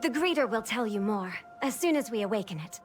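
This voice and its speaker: A woman's voice: on the high side, lively intonation, fairly quick, faint music behind, lightly acted. A woman voicing an earnest, dutiful celestial guide in a video game, formal and courteous. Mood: welcoming.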